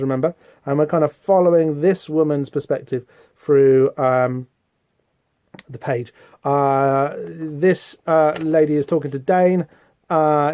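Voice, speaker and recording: A man's voice: fairly high pitch, darkish tone, no echo. Rambling man on a muffled podcast. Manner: rambling and musing, frequent ums and restarts. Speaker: podcast host. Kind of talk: casual read-along comic commentary. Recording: dull, slightly muffled podcast audio.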